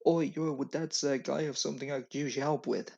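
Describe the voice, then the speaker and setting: A man's voice: mid-range voice, steady tone, a little fast, creaky, darkish tone. A man putting on a generic, cocky London recruit with a brash, slangy manner, on dull lo-fi webcam audio. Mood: mocking. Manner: brash, slangy street greeting.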